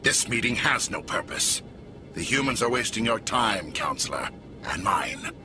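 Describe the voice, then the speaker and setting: A man playing a smug alien villain in a video game, with a sneering, drawn-out, contemptuous delivery. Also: medium pitch, sing-song, sharp tone, exaggerated voice. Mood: scornful. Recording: music behind game dialogue.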